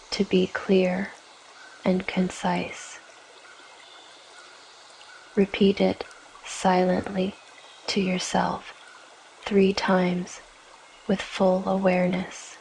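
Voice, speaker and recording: A woman with a fairly low voice leads a guided relaxation. Her delivery is gentle and extremely flat in melody, unfolding very slowly with long pauses. A soft wash of water sounds runs behind her. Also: a little hiss or hum, poor audio. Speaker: yoga nidra guide. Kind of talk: guided meditation, scripted narration.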